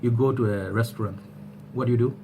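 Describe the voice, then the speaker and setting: A man's voice: medium pitch, fairly quick, background noise. A man in his thirties from Bangladesh, speaking in a casual, rambling opinion monologue to a webcam in a small room at home, full of fillers and restarts. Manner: animated, gesturing hands, rambling with fillers and restarts. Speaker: vlogger.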